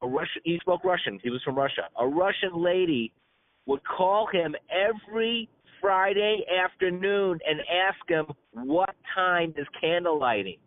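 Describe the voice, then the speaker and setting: A man's voice: high voice, measured pace. A man giving an informal religious class as a rabbi, telling stories conversationally with stammered repetitions and colloquial asides, over a conference call. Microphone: telephone conference call. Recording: tinny, narrow-band phone line.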